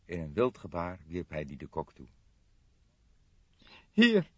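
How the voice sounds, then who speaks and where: A man reading a crime story as an audiobook narrator, in expressive scripted narration. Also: rumbling low, fairly quick, breathy edge, no room sound. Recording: dull lo-fi audiobook recording.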